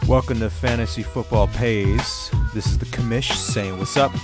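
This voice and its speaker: A hoarse man casually hosting a fantasy sports podcast, rambling with lots of fillers. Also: mid-range voice, steady tone, moderate pace, over loud music. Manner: loose, rambling, many fillers. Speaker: podcast host, league commissioner. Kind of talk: casual solo podcast talk.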